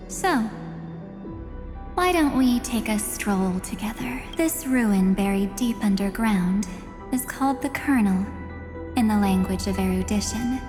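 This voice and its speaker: Composed, menacing mystery woman for a game, acted by a woman; unhurried, taunting, with clear music underneath. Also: medium pitch, close to an everyday voice. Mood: composed, inviting. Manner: slow, deliberate, softly taunting. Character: enigmatic, menacing, composed woman guarding a dark domain. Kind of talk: scripted video game acting. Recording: long reverb, loud music behind.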